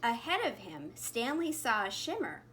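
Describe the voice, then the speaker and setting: A woman's voice: a little high, big pitch swings, normal speed, a bit bright. A woman reading a picture book aloud expressively to young children. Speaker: kindergarten principal. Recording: faint background noise.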